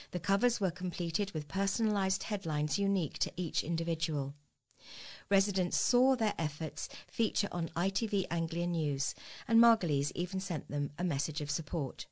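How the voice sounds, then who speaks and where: A woman reading scripted local news as a volunteer newsreader, in a measured read-aloud manner. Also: lowish voice.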